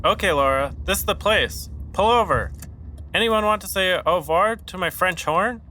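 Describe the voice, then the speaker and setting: An actor playing Austin, an odd, deadpan young man with a quirky scheme in a podcast sitcom. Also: sits high, moderate pace.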